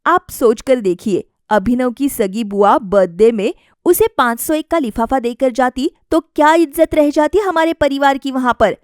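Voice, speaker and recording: A self-assured young wife voiced by a woman narrator with a high voice and a dry recording. She is polite yet defiant, reasoning firmly as in a family storybook drama. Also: dry recording. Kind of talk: acted dialogue in a family story.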